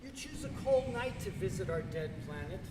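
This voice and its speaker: A woman playing a solemn, mysterious inhabitant of a dead planet, formal and measured, in a live radio play. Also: medium pitch, lively intonation, close to an everyday voice. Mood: solemn, calm. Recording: distant, echoey, noisy hall.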